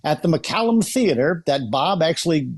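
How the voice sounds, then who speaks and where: Older-ish guy guest, casual chat, voice a bit on the high side. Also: lively intonation, normal speed. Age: middle-aged or older. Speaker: guest, celebrity impersonator.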